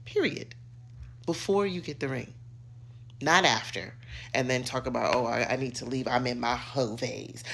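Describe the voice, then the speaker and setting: A middle-aged American woman giving an opinionated rant to camera, emphatic, sassy and theatrical, with dramatic pauses and drawn-out words. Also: very deep voice, gravelly, fairly bright tone, a little hiss or hum. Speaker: social media commentator.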